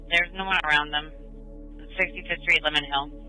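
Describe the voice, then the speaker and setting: A man's voice: fairly high pitch, fairly even intonation, normal speed, bright, forceful tone, faint music behind, poor audio. A man working as a police dispatcher, speaking in clipped, procedural radio calls over a scanner.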